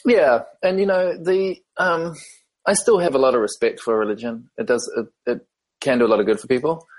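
A man from New Zealand with a New Zealand accent, a podcast guest talking through his background in a thoughtful, measured way with frequent ums. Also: mid-range voice. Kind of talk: casual interview conversation.

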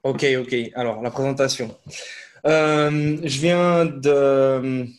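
Man, podcast guest, casual talk, hesitant, lots of fillers, mid pitch. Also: fairly even intonation, slow. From Switzerland. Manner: hesitant, many fillers and restarts. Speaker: podcast guest, CrossFit coach.

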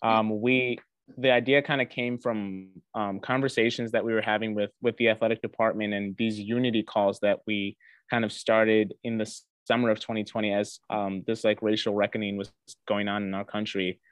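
Low, flat-voiced young man from Ghana. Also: normal speed, smooth, no echo. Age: young adult. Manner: earnest, many fillers and restarts. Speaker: interview guest, student athlete. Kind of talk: casual interview conversation.

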